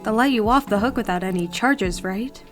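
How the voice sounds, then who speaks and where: A woman's voice: medium pitch, moderate pace, over music, lightly acted. A woman playing a grieving, wounded mother in a game roleplay, with an emotional, pointed delivery. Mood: subdued sorrow. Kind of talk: online game roleplay voice acting.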